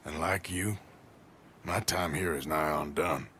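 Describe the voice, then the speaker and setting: An actor playing a weary, gruff outlaw man in his thirties with a gravelly drawl. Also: very deep voice, steady tone, measured pace, a bit bright, a little hiss or hum. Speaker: outlaw protagonist.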